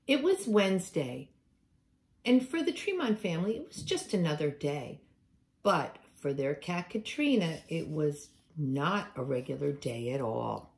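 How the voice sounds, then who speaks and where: A middle-aged woman reads a picture book aloud to young children. Her pitch is on the low side with a lot of gravelly creak, and it moves up and down freely as she tells the story expressively. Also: very animated, a little slow, darkish tone. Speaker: teacher reading aloud to children. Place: room at home, indoors.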